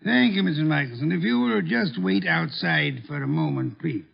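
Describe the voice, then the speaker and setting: An actor playing a courtly, imperious armchair detective in a formal, probing manner, in 1950s American radio drama style. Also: male voice, a little high, lively intonation, moderate pace, gravelly, slight background noise. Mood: dry, knowing. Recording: dull vintage radio recording.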